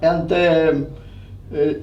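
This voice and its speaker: A man with a fairly high, mellow voice explains technical details in an interview. His non-native English comes haltingly, with hesitant phrasing and a gravelly fry. He sounds a little way from the mic in a room with faint noise. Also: flat delivery, highs cut off. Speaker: loudspeaker designer being interviewed. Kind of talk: unscripted technical interview answers. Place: indoor demonstration room at a hi-fi show. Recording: roomy, distant mic, noisy show room.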